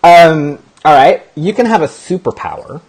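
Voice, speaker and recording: Husky guy hosting a chatty interview show, bouncy lively pitch, lots of energy. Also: normal speed, low-quality recording. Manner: upbeat, animated, friendly questions. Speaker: host. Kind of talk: casual interview chat.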